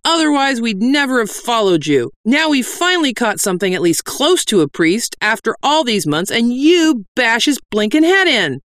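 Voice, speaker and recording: A woman voicing a big, dim male bandit brute in a fantasy audiobook, blunt and belligerent with rough grammar. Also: on the high side, sing-song, moderate pace, hardly any pauses, a bit bright, dry recording, clean studio sound, pitched above the performer's own voice. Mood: belligerent, petulant. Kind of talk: fantasy audiobook character acting.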